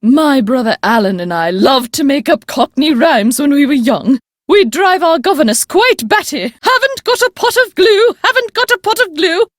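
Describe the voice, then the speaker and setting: A woman's voice: high-pitched, expressive, moderate pace, no room sound, studio quality. A woman playing an elderly, upper-class English great-aunt in a video game, proper, haughty and emphatic, stern but fond. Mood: fond, reminiscing. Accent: English, upper-class British. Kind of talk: video game character dialogue.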